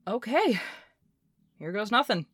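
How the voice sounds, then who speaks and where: A woman playing a scrappy, intense inventor recording a personal log in an audio drama, talking in a halting, volatile way. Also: medium pitch, big pitch swings, fairly bright tone, breathy edge, no room sound, full character voice. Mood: determined. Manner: swearing, halting, swinging from muttering to fervent.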